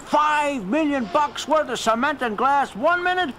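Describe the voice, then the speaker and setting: A man playing a brash, excitable city policeman in a superhero audio drama, slangy and emphatic. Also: extremely high pitch, a little slow, a little hiss or hum, full character voice. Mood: amazed.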